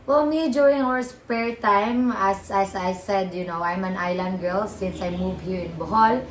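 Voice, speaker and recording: A woman in her twenties from the Philippines talks casually and giggles between phrases, with loosely built sentences. Her voice sits at an ordinary pitch. The room around her is echoey. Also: unhurried, a little hiss or hum. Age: 20s. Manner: giggly, non-native phrasing. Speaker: vlogger's partner. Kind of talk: casual to-camera chat.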